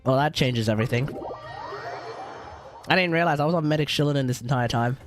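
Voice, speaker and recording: A man's voice: medium pitch, fairly quick. A man livestreaming a video game, talking casually and thinking aloud in an informal way. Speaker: game streamer. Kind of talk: casual live gameplay commentary. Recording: game music behind the voice.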